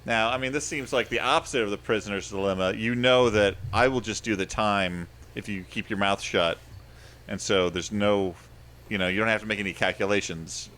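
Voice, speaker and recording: A man with a lowish voice co-hosts a casual comedy podcast. He rambles through his points, laughing at himself and piling up little asides. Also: a little fast, fairly bright tone. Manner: rambling, self-deprecating, laughs often. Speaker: podcast co-host.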